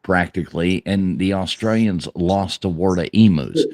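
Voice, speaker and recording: A man's voice: deep voice, normal speed, darkish tone. A man hosting a casual chat show, teasing and joking with his guests. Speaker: host.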